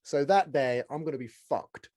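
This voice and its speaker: A man hosting a podcast as a casual, blokey, excitable chat with plenty of swearing. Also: fairly high pitch, normal speed, no echo, clean studio sound. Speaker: podcast host. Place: small studio room.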